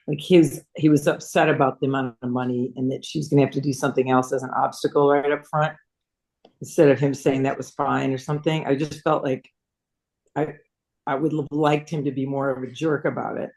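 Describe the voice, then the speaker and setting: A woman's voice: very deep voice, fairly even intonation, fairly quick, a little mellow. Casting: female workshop participant, casual conversational critique. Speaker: participant giving script feedback. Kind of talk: casual feedback.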